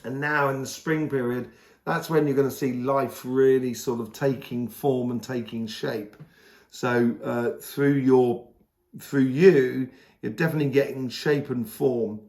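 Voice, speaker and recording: A hoarse man giving a casual, rambling tarot reading, full of fillers and restarts. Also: mid-range voice, fairly even intonation, measured pace, a little mellow, roomy sound. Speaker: tarot reader.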